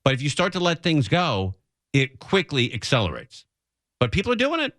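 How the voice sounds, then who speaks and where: A man's voice: fairly high pitch, huge pitch swings, normal speed, a bit bright, no room sound, studio quality. A man hosting a radio talk show, giving off-the-cuff, opinionated commentary in a conversational way and repeating phrases for emphasis. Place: radio studio.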